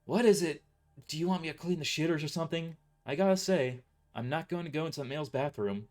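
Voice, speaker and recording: A man's voice: fairly high pitch, fast talker, darkish tone, voice pushed up, lightly acted. A man playing a grumpy young mercenary in an audio drama, irritable, sarcastic and foul-mouthed.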